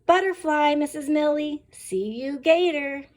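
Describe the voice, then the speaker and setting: Woman doing giggly kids chanting high and slow. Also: darkish tone. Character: class of young children, giggly and correcting.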